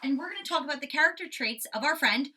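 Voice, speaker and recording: A woman's voice: high-pitched, expressive, fast talker, bright, forceful tone, far from the mic. A woman teaching young children through a read-aloud, explaining simply and expressively and checking in with questions.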